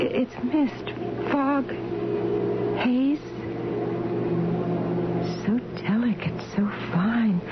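Dreamy, slow-drifting dying woman. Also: mid-range voice. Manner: hushed, breathless wonder in short halting phrases. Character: gravely ill woman drifting out of her body, dreamy and awed. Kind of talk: 1970s radio drama acting, interior monologue. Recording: muffled radio recording with loud music.

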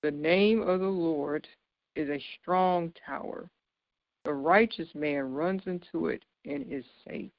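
A woman in her thirties with a deep, low voice, giving an informal, earnest devotional sermon and laughing lightly between points. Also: takes it slowly, vocal fry, dry recording, highs cut off. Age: 30s. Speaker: speaker giving a devotional talk.

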